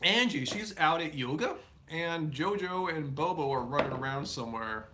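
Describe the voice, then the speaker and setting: A man's voice: a little high, expressive, normal speed, background noise. A man hosting a live stream, answering viewer questions in a casual, rambling way with many fillers and restarts. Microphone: live stream setup.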